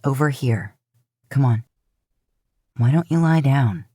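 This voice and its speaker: A woman voicing a protective, gruff older brother who talks in a plain, coaxing, reassuring way in a story reading. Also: very deep voice, takes it slowly, mellow, no echo, clean studio sound, voice pushed down, heavily acted.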